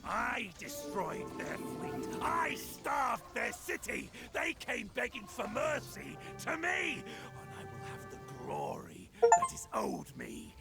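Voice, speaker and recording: An actor playing a ruthless, arrogant Spartan commander in a video game, menacing, moving between hissed whispers and roars. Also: male voice, extremely high pitch, sing-song, takes it slowly, creaky, hardly any pauses, exaggerated voice. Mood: furious pride. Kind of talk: dramatic video game acting. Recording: loud music behind the voice.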